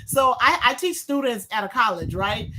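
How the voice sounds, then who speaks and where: A woman's voice: fairly high pitch, expressive, moderate pace, pushed and bright, rough recording. A woman co-hosting, chatting casually and colloquially and jumping in with comments. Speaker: co-host, college teacher. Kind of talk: casual, lively chat. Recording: faint background noise.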